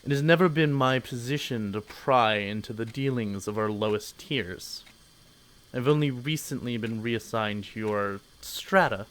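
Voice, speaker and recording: An actor playing a pompous, officious corporate boss in an audio drama, condescending and easily offended. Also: male voice, medium pitch, a little slow, fairly bright tone, close to an everyday voice. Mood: affronted.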